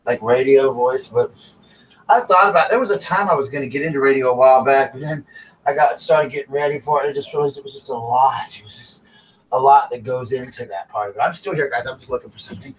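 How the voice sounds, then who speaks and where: A raspy man with a Southern US accent hosts a casual live stream, talking like he is chatting with friends. He sits a little away from his mic, and a faint hum of noise stays under his voice. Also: mid-range voice, quick pace, recorded from a distance, poor audio. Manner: chatty, breaks off to call his dogs.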